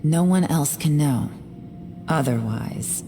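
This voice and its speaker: A woman playing a secretive, composed young investigator in a video game, speaking in a measured, serious way. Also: low voice. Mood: solemn, grave. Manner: measured, serious, deliberate delivery. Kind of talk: dramatic video game voice acting. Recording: long reverberant hall sound. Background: faint background music.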